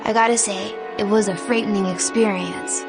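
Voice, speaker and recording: A woman's voice: mid-range voice, steady tone, normal speed, close to an everyday voice. Girl doing cocky fire-happy fantasy adventurer, teasing n wry, music going underneath. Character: cocky, taunting female pyromaniac adventurer. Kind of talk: fantasy game movie voice acting.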